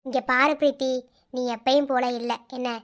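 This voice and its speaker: Woman voicing a gentle, coaxing friend. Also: sits high, flat delivery, moderate pace, pitched below the performer's own voice, natural voice. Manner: soft, coaxing. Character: caring female friend, gentle and probing.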